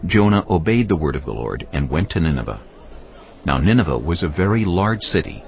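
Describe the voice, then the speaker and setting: A man narrating scripture for a dramatized audio production in a deliberate storytelling manner. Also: low voice, sing-song, vocal fry. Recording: dull band-limited audio, faint music.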